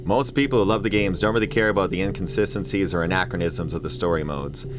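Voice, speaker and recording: Male video review host, low register, wry and mock-exasperated film commentary over a clear music bed. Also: quick pace. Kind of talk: comedic movie commentary, semi-scripted.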